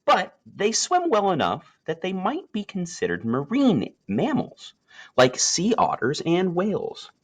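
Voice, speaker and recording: Very animated man reading a storybook aloud. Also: high voice. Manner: expressive, emphatic phrasing with dramatic pauses. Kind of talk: scripted children's nature story read aloud.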